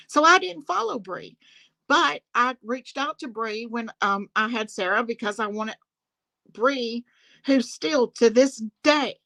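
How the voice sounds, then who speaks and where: A woman's voice: on the high side, pushed and bright, poor audio. A woman with a Southern American accent hosting a casual live-stream chat, emphatic and repeating phrases for stress. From Southern United States. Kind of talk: casual drama commentary chat. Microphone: phone on speakerphone.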